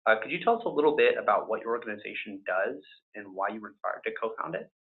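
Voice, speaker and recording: A man's voice: lowish voice, animated, fast, narrow, tinny audio. A man politely hosting as a panel moderator, with prepared introductions and questions.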